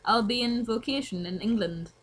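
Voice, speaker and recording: A woman's voice: a little high, normal speed, rough recording. Giggly young woman reading aloud. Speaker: co-reader of fan fiction. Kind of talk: casual, comic reading aloud.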